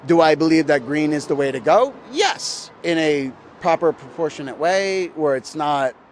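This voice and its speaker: A man's voice: fairly high pitch, lively intonation, moderate pace, slight background noise. A man interviewed on a city street, rambling through his opinion and mimicking others mockingly. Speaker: passer-by interviewee.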